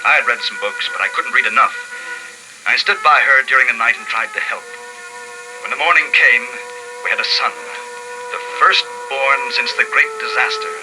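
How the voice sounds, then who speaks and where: An actor playing an earnest, educated young male survivor who narrates his story deliberately and dramatically in 1950s radio drama style. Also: medium pitch, natural voice, rough recording. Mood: grave narration. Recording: old radio broadcast with music behind.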